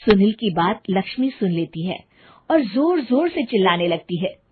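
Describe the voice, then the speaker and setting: A woman's voice: medium pitch, big pitch swings, normal speed, phone-quality sound, slight background noise. A woman reading scripted storytelling narration for a cartoon.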